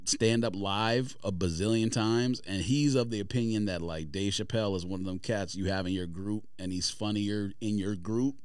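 A man's voice: on the low side, moderate pace, few pauses, smooth, no room sound, studio quality. A man in casual podcast banter, arguing his points in slang as a host. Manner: argumentative, slangy.

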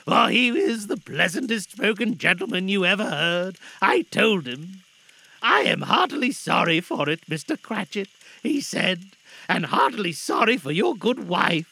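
A man's voice: sits high, very animated, a little slow, gravelly, bright, forceful tone, exaggerated voice. A man voicing a cheerful, tender-hearted working-class father fighting back grief, earnest and affectionate, in a dramatised storybook reading. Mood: warmly eager.

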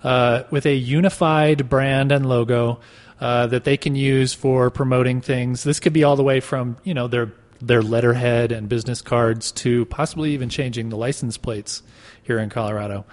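A man's voice: medium pitch, fairly even intonation, moderate pace. A man hosting a casual podcast in a wry, joking way. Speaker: podcast host. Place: marble boardroom in a clock tower. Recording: echoey marble room, long reverb.

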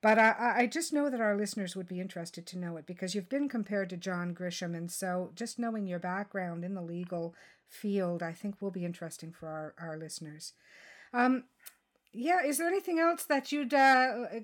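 A woman's voice: medium pitch, animated, normal speed. A woman podcast host talking in a chatty, encouraging, conversational interview style.

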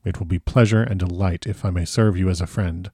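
An elderly, courtly professor from a classic storybook, acted out by a male reader. He speaks with earnest, effusive formality in broken foreign phrasing. The voice is fairly low and bright-toned only in slight measure, leaning mellow. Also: normal speed, no echo, clean studio sound, lightly acted. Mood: grateful, solemn. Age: older.

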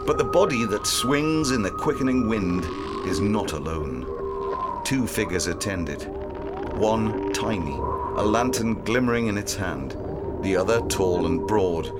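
A man's voice: lowish voice, animated, unhurried. A man narrating a scripted dramatic story. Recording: loud music under the voice.

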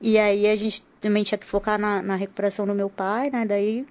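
A woman's voice: medium pitch, level tone, fairly quick, a little mellow. A woman from Rio de Janeiro, a podcast guest, talking casually with many restarts and laughs. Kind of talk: casual chat.

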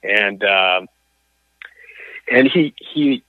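A man, a coach and athlete, telling his story as an interview guest, halting with frequent restarts and fillers. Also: on the low side, expressive, no room sound. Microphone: phone or call line. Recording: tinny phone line.

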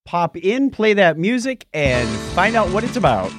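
A man's voice: high-pitched, very animated, normal speed, loud music behind the voice. An American man co-hosting a podcast, chatting casually in a playful, joking way. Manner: jokey, playful. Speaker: podcast co-host. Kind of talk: casual comedic chat.

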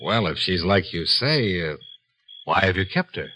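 An actor playing a cool, probing insurance investigator in a 1950s radio detective drama, clipped and wry. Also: male voice, deep voice, lively intonation, measured pace, sharp tone, full character voice.

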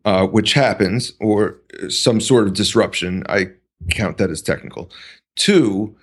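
A man speaking as a podcast guest, talking in a deliberate, halting way with many pauses and restarts. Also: on the low side, slightly rough. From United States. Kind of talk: casual conversational interview.

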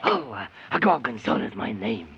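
Brash talking horse, gravelly New bragger, grumbling and exclaiming, vintage children's radio sound. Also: male voice, mid-range voice, big pitch swings, unhurried, very noisy. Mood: boastful. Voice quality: raspy, gravelly. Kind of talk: 1930s children's radio serial acting. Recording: muffled vintage 1930s radio recording.

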